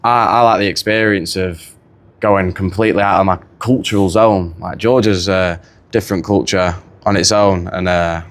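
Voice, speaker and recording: An English man with a Manchester accent, chatting casually as a podcast guest, relaxed and full of repeats. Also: sits low, moderate pace. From England. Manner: relaxed, chatty, lots of "you know" and repeats. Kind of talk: casual conversation.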